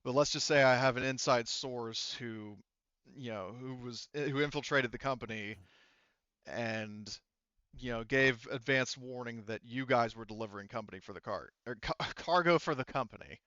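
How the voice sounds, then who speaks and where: Guy running a tabletop rpg, kinda halting explainer, dry lo-fi audio. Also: mid-range voice, bright, forceful tone, smooth, no room sound. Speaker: game master. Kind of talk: narrating a tabletop roleplaying game.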